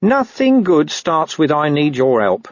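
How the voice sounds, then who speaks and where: A man's voice: on the high side, sing-song, measured pace, dry recording, highs cut off, voice pushed up. A man voicing a wary, boastful talking dog with grumbling, streetwise speech in an audiobook. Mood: cocky, wary. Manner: grumbling, cocky, uses rough slang and bad grammar.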